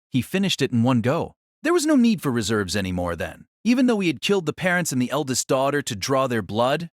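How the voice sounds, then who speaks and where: Animated male narrator, fairly high voice. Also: no echo, studio quality. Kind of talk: dramatic scripted audiobook narration.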